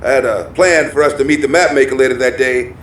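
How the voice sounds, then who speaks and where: An actor playing a wild, paranoid conspiracy researcher in hiding in an audio drama, blunt and ominous. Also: male voice, mid-range voice, fast, fairly bright tone, slight background noise, close to an everyday voice. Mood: agitated, defensive. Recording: music behind the voice.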